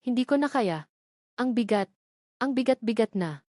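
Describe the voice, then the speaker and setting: A woman's voice: fairly high pitch, animated, normal speed, mellow, no room sound, studio quality, lightly acted. A woman voicing a lonely, despairing young mother confiding in her journal, in a sorrowful story narration. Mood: despairing, pleading.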